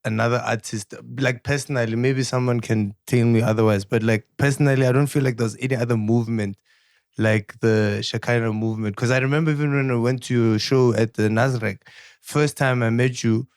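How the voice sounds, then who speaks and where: A man from South Africa, a podcast co-host, chatting in an animated, jokey way and laughing as he talks. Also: medium pitch, fairly quick, smooth, dry recording, studio quality. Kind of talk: casual chat.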